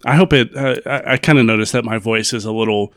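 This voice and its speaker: A man co-hosting a podcast in casual chat, with a raspy, monotone, deadpan delivery. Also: medium pitch, fast talker, no room sound, clean studio sound. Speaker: podcast co-host.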